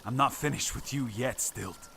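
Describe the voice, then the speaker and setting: A man's voice: mid-range voice, normal speed, gravelly, pushed and bright, slight background noise, voice pushed up. A man voicing an arrogant, spiteful rich young villain, sneering and menacing, in an audiobook. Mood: threatening. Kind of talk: audiobook character voice.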